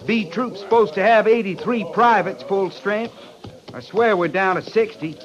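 An actor playing a reluctant, cheeky cavalry private with a folksy, complaining manner in a 1950s radio western. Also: male voice, sits high, moderate pace, lightly acted. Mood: grumbling bravado. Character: grumbling, reluctant young cavalry private, scared but cheeky. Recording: muffled old radio, busy background.

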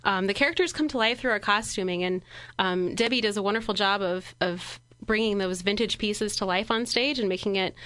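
A woman's voice: mid-range voice, fairly quick, fairly bright tone, clear, resonant tone, no room sound. A woman speaking as an actor in a casual interview, upbeat and earnest, in a dry studio sound. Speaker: stage actor, interview guest.

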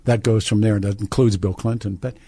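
A man's voice: lowish voice, fairly even intonation, a little fast, mellow, slightly rough, no echo. A man with an American accent hosting a conversational radio call-in show, often repeating and restarting his phrases. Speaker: radio talk show host. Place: radio studio.

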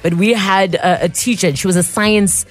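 A woman with a South African accent hosting a talk radio show, chatty and quick to laugh. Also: mid-range voice, fairly even intonation, faint music behind. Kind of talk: casual talk radio chat. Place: radio studio.

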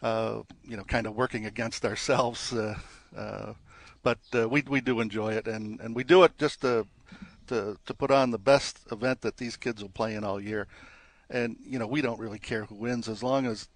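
A man who organizes a junior golf tournament, answering interview questions in a halting way with many fillers and restarts. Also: lowish voice, steady tone, dry recording.

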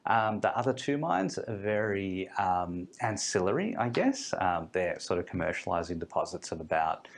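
A man's voice: sits low, sing-song, moderate pace, creaky, few pauses. A man, a guest fund manager, explains things in an interview with many ums and restarts. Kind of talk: podcast interview.

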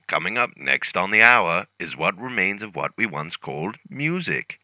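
An actor playing a solemn announcer on a dystopian survival broadcast, in formal radio style. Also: male voice, sits low, big pitch swings, normal speed, pushed and bright, dry recording, exaggerated voice, low-quality recording. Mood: grave, official.

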